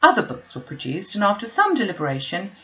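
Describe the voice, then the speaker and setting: Expressive woman reading a classic novel. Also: mid-range voice, fast talker, lo-fi sound. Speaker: audiobook reader.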